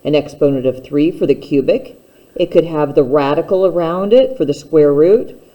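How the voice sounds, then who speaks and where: A woman's voice: deep voice, dark tone, low-quality recording. A woman teacher giving a step-by-step instructional math lesson, speaking plainly and patiently straight to the learner.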